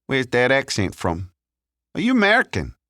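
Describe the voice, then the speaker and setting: A man's voice: medium pitch, huge pitch swings, moderate pace, sharp tone, no room sound, studio quality, voice pushed up. A man voicing a wary, loyal young street lad in an audiobook thriller. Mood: cautious, probing.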